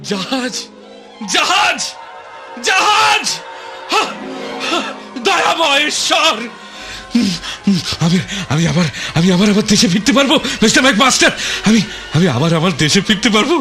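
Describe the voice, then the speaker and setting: An actor playing a desperate, emotional castaway in a radio play, halting and repeating his words feverishly. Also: very high voice, very animated, heavily acted. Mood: frantic joy. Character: desperate stranded male castaway longing for home. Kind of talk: Bengali radio play acting. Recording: radio play with music underneath.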